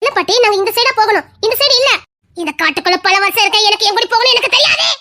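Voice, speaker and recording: A nervous young man, played by a performer lost in a forest at night. He fires off quick, uneasy questions. The voice is very high. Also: lively intonation, bright, forceful tone, exaggerated voice. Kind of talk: horror skit acting.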